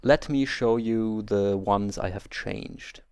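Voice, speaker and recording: A man's voice: on the low side, takes it slowly, darkish tone, smooth, dry recording, studio quality. A German man speaking English as a second language, hosting a game let's play and carefully explaining settings step by step with small hesitations. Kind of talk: video game tutorial commentary.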